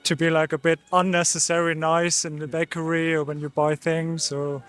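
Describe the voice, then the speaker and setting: A calm man gives a street-interview answer in a smooth, level voice that sits slightly high. Faint music runs under him. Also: moderate pace.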